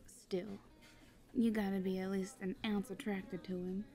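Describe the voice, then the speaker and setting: An actress playing a teasing, encouraging woman confidante, coaxing, playful and often hushed, in a conversational audio drama. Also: mid-range voice, flat delivery, measured pace.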